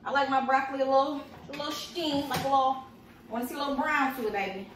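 A woman's voice: sits high, steady tone, fast talker, slight background noise, rough recording. A young woman chatting casually to viewers while she cooks in her home kitchen, filmed on a phone set on the counter. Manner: chatty, talks to viewers as y'all, chants while working. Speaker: vlogger. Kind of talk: casual cooking vlog chat.

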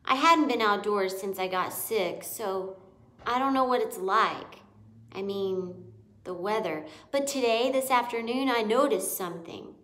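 A woman voicing a calm, serious, thoughtful young boy mouse who has been ill, in a storybook. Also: mid-range voice, unhurried, close to an everyday voice. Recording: echoey room.

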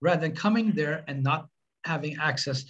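A middle-aged man with a Swedish accent, presenting on a webinar in earnest, halting speech. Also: on the high side, fairly bright tone, muffled recording. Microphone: webcam on video call.

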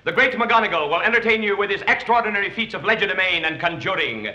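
A grandiose male variety-show announcer from a 1930s film, high-voiced and declaiming at a brisk clip. The old soundtrack is dull and echoing. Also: expressive, pushed and bright, full character voice. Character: bombastic stage announcer introducing a variety act.

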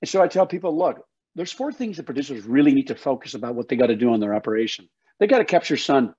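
Older guy teaching on a video call, husky mellow voice, kinda high, folksy and emphatic, bit muffled. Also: sing-song, quick pace. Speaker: guest, soil health educator. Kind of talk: passionate explanatory interview talk. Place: home office, indoors. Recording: slightly dull video call audio.

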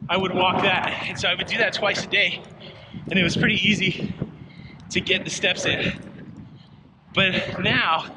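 A middle-aged man talks through a walk in a park, loose and chatty, throwing questions at his viewers and poking fun at himself. His voice sits a little high for a man. The body camera picks up a steady wash of wind and outdoor noise behind him. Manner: chatty, self-deprecating, asks viewers questions. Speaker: walking vlog host. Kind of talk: casual walking vlog.

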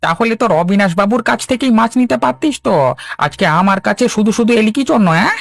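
A man's voice: high-pitched, expressive, fast, gravelly, dry recording. A man playing a hot-tempered, jealous fish trader in a cartoon, scornful and domineering. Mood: angry. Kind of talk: Bengali cartoon dub.

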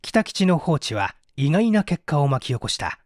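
A man's voice: on the high side, sing-song, moderate pace, dry recording, studio quality. A man reading scripted literary narration as an audiobook narrator. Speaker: audiobook narrator, stage actor.

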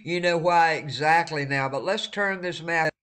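A man's voice: a little high, normal speed, a bit bright, smooth. A man with a stuffed-up nose, giving a friendly, casual weather forecast over maps, repeating words for emphasis. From eastern North Carolina. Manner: friendly, repeats words for emphasis, warns listeners to stay safe. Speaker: weather vlogger.

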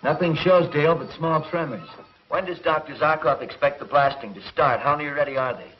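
An actor playing a steady, decisive young space hero in a 1950s TV serial, with a plain, earnest delivery. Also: male voice, fairly high pitch, brisk, close to an everyday voice. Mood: businesslike. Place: studio control-room set. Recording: dull, muffled 1950s TV soundtrack.

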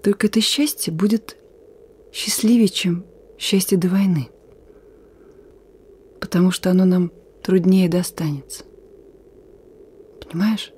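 A woman's voice: fairly low pitch, steady tone, unhurried, long pauses, mellow, husky. An actress playing a young, steadfast and thoughtful wartime partisan radio operator in a radio play, her voice often dropping toward a whisper. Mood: earnest, hopeful.

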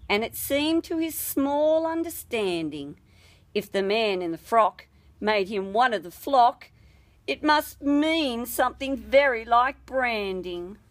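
Slow, lively woman reading aloud. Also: on the high side. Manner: measured, dramatic storytelling. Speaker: storybook reader. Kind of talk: expressive scripted ballad reading.

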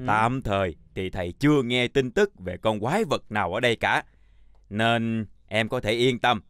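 A man playing a stern, patient older chalk schoolteacher in a children's cartoon, speaking in a measured, instructive way. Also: on the low side, a bit bright, clear, resonant tone, dry recording, clean studio sound, close to an everyday voice.

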